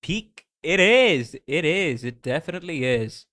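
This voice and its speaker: A male streamer with a light Indian accent mixed with American and British tones, chatting casually and excitedly with his viewers. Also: on the high side, measured pace. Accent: Indian, blended with American and British. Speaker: streamer reacting to game music. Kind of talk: casual live-stream chat.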